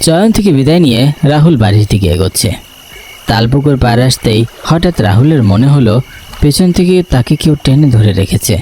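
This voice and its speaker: A man's voice: mid-range voice, expressive, a little fast, dark tone. A man reading scripted narration for a horror cartoon story. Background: music.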